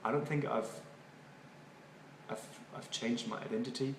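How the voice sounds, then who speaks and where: A man from Germany with a German accent, a native German speaker, giving halting, thoughtful interview answers and often repeating words. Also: mid-range voice, steady tone. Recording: echoey room, far from mic, noisy.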